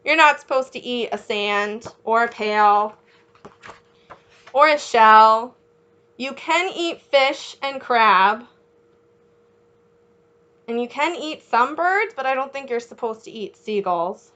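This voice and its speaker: A woman reading a picture book aloud as a children's teacher, encouraging young listeners with questions and pausing for their answers. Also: on the high side, animated, bright, forceful tone, lo-fi sound.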